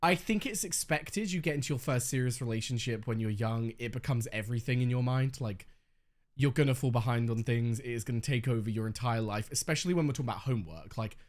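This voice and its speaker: Hoarse young guy chatting through a reaction, candid, swears a bit. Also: medium pitch, fast, darkish tone. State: slightly hoarse, losing his voice. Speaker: reaction video host. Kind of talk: casual reaction commentary.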